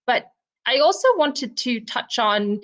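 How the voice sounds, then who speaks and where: A woman's voice: a little high, animated, takes it slowly, fairly bright tone. Reflective woman from Zimbabwe on a video call. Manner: reflective, personal, frequent "um" and "you know" fillers. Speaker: book club co-presenter, professor. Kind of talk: virtual book discussion talk.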